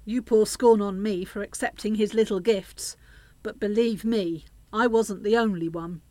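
A woman's voice: medium pitch, steady tone, a little slow, dark tone, no echo, lightly acted. A woman playing a bitter, harsh older widowed mother in a radio play. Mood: bitter, outraged.

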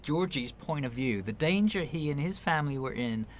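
A man's voice: fairly high pitch, expressive, normal speed, a little hiss or hum, close to an everyday voice. An actor in a radio play voicing an earnest, patient man who speaks hesitantly, with small stammers. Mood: earnest, explaining. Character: earnest, patient man gently persuading a younger relative. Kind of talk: naturalistic radio play acting.

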